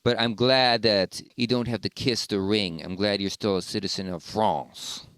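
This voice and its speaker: Podcast host guy, smooth clean voice, chatty n effusive, no room echo at all. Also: on the low side, fairly even intonation, normal speed, fairly bright tone. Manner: effusive, opinionated. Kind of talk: casual podcast interview.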